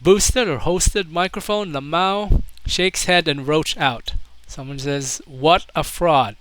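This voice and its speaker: A man's voice: fairly high pitch, slow, a bit bright, poor audio. A man doing casual video commentary, reading out online comments in an amused, mocking way.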